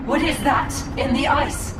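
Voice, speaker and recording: An actress playing a resolute, dignified female alien seer and leader in a video game cutscene, speaking formally. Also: high voice. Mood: alarmed. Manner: formal, measured phrasing. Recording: very echoey, distant, noisy ambience.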